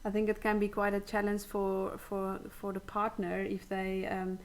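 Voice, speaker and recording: A woman's voice: mid-range voice, completely flat delivery, normal speed. A woman hosting a supportive, casual interview in an encouraging, affirming way, feeling her way through sentences. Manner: encouraging, affirming, hesitant phrasing. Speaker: interviewer, host.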